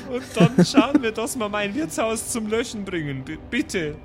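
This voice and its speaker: A man playing in an improvised tabletop game, talking in character in a playful, colloquial way. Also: high voice. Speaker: player. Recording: music behind the voice. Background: music.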